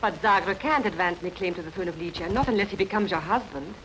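An actress dubbing an anxious young captive in a sword-and-sandal film, earnestly consoling and hopeful. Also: female voice, on the low side, fast, close to an everyday voice. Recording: very noisy old film soundtrack.

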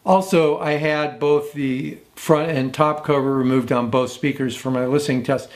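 A man's voice: medium pitch, steady tone, normal speed. A man in his sixties hosting a casual, unscripted product review in a matter-of-fact way. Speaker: host of vintage audio review.

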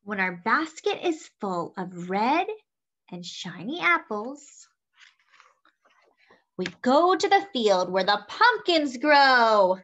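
A young woman reading a picture book aloud to children with animated, expressive delivery. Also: on the high side, slow pace. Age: young adult. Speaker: children's story-time reader. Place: small room indoors at home. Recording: slightly dull webcam audio.

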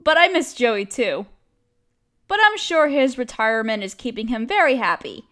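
A woman playing a cute, chatty young barista in an audio roleplay, expressive and girlish, playfully teasing a coworker friend. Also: fairly high pitch, normal speed. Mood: nostalgic. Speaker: voice actress. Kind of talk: scripted one-sided audio roleplay, casual chat.

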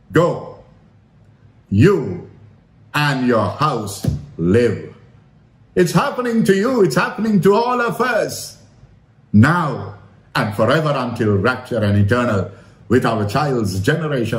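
A man preaching a sermon in an earnest, exhorting manner, repeating phrases for emphasis. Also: medium pitch. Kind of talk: sermon retelling a Bible story. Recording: roomy, echoing sound.

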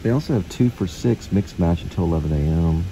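A man with a drawl talks in a very deep voice as he makes his delivery rounds, rambling and trailing off as he goes. Road and vehicle noise carries clearly around him. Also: animated, brisk, dark tone, poor audio. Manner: rambling, offhand, trails off and repeats himself. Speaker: vlogger and delivery driver. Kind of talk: casual talk to camera.

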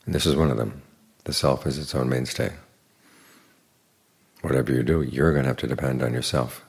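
An older man, a Buddhist monk, giving an evening dharma talk in an unhurried, plain teaching manner with dry humor. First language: English. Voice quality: very deep gravelly voice.

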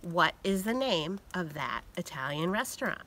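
Bright, forceful woman author. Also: lowish voice, very animated, moderate pace. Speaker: mystery novelist. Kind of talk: prepared book introduction to camera. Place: outdoors in snowy woods.

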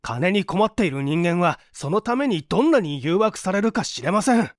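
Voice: mid-range voice, sing-song, moderate pace, no echo, clean studio sound, pitched above the performer's own voice, natural voice. A performer voicing an earnest, indignant young man in a storybook reading, formal, polite and emphatic. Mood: indignant, heated. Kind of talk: dramatized literary reading.

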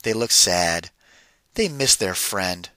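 A man reading a picture book aloud to children as a teacher, deliberate and emphatic, addressing them directly. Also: sits low, expressive, sharp tone, dry recording. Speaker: read-aloud narrator and teacher.

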